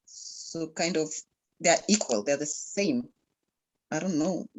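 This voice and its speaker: A woman's voice: sits low, lively intonation, takes it slowly, a little hiss or hum, poor audio. A woman from Kenya, not a native English speaker, explaining her word choice tentatively on a video call. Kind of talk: casual meeting discussion.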